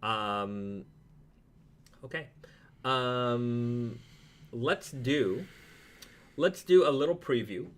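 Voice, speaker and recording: A man's voice: medium pitch, drawn-out words, pauses often. A man chatting casually as a live stream host, talking through products in a rambling, easygoing way.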